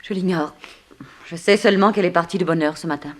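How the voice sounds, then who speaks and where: A woman's voice: lowish voice, fast talker, natural voice. An actress in a 1960s French radio drama playing an earnest, refined captive woman, formal and dramatic in her telling. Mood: earnest, pleading.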